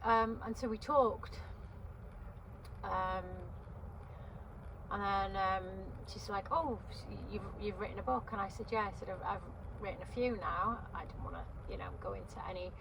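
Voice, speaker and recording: A woman in her fifties, from Wales, talks to camera from inside her parked van. She rambles and restarts, with plenty of ums, at a middle pitch. A strong wind is clearly heard gusting outside. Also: sing-song, normal speed. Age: 50s. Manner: chatty, meandering, many ums and restarts. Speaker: vlogger. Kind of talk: casual rambling chat to camera. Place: inside a parked van by a river on a windy day.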